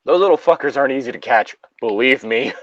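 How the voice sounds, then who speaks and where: A man's voice: mid-range voice, expressive, fast talker, highs cut off. A man casually reading a story aloud as host, breaking off for joking, sweary asides.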